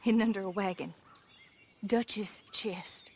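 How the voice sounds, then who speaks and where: A woman's voice: steady tone, slow pace, a little mellow, slightly rough. An actress playing a frantic young mother in a video game cutscene, speaking urgently and pleadingly. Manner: urgent, pleading, shrill in distress.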